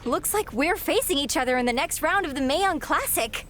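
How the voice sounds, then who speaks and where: A high-pitched, friendly young woman wrestler in a game cutscene. She chats casually with a playful touch, over faint noise. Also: animated, brisk, a bit bright. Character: chatty, friendly young female wrestling rival. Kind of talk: scripted video game cutscene acting.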